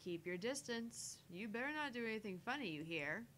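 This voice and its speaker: A woman acts out a defiant young woman in a visual novel, taunting and quick to quip. There is some background noise and rumble. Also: animated, fairly bright tone. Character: feisty, defiant young woman trading quips. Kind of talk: voiced reading of visual novel dialogue.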